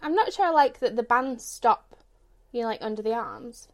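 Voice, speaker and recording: A woman's voice: a little high, animated, moderate pace. A woman giving easygoing, plain-spoken opinions as a guest on a casual podcast. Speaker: guest reviewer. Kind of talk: casual chat.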